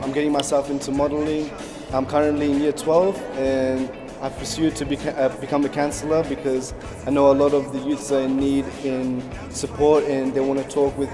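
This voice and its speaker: Teen guy, steady flat voice, earnest, music in background. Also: mid-range voice, moderate pace, in a large hall. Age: teens. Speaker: student. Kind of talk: talking-head interview.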